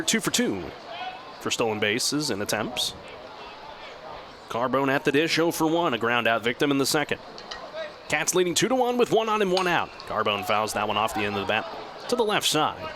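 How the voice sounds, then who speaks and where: A man working as a radio announcer, giving baseball play-by-play commentary that moves between measured description and excited calls. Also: medium pitch, noisy background.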